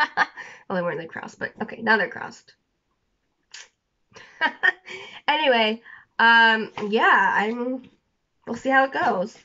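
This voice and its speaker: Brief: young woman, sniffly and a little scratchy, bright higher voice, rambling bedroom vlog, dull lo-fi phone audio. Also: lively intonation, takes it slowly, long pauses. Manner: rambling, many fillers and restarts. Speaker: vlogger. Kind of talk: rambling casual chat to camera. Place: small bedroom indoors.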